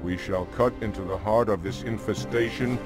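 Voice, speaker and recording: An actor playing a stern, battle-hardened alien commander in a video game cutscene, giving formal orders. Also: male voice, fairly low pitch, moderate pace, lightly acted. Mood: stern, rallying. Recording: very loud music behind the voice.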